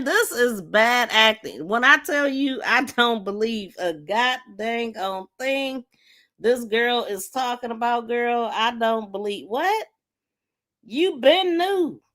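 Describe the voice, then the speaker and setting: A woman's voice: on the high side, animated, takes it slowly, bright, forceful tone. A woman hosting a crime podcast, giving casual, blunt commentary in an exasperated, scornful manner with emphatic repetition and swearing. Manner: emphatic, repeats phrases mockingly. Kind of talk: casual live commentary on played audio.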